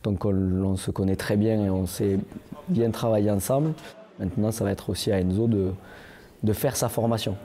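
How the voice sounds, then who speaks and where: A man speaking as a racing team manager in an interview, measured and thinking aloud. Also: lowish voice, steady tone, dark tone.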